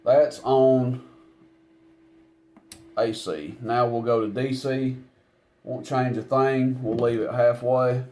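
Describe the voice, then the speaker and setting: A man's voice: medium pitch, fairly even intonation, takes it slowly, long pauses, a little mellow. A man giving a casual hands-on equipment demonstration in a plainspoken, folksy, matter-of-fact way. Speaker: CB radio seller demonstrating equipment.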